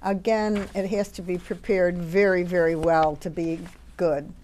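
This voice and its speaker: A woman's voice: fairly low pitch, steady tone, a little slow, darkish tone. An older woman co-hosting a home cooking show, chatting casually and filling in details for her partner. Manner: chatty, explains and adds to her partner's points. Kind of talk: casual conversational chat.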